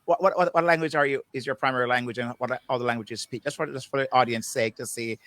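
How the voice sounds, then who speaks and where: A man's voice: medium pitch, fairly even intonation, quick pace, no room sound. Affirming male host, dry sound. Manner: warm, affirming, repeats words as he thinks. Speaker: interview host. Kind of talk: casual interview chat.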